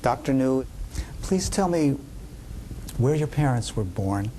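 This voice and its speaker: A man interviewing a doctor, asking calm, formal questions about her life. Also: mid-range voice, slow pace, slight background noise.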